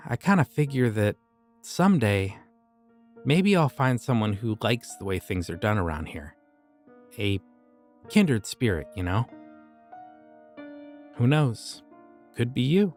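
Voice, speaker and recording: A man voicing a friendly but creepy young occult shopkeeper in an audio roleplay, talking in a casual, easygoing way about dark rituals. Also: mid-range voice, lively intonation, takes it slowly, long pauses, soft background music. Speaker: voice actor.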